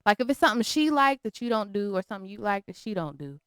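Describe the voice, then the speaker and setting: Bright, lively woman pressing questions. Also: medium pitch, quick pace, clear, resonant tone, no room sound, studio quality. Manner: questioning, challenging. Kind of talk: casual chat.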